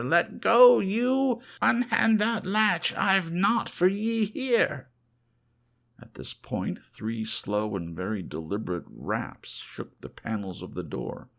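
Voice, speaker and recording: A man narrating a horror audiobook in a theatrical, deliberate, scripted manner. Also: fairly low pitch, creaky.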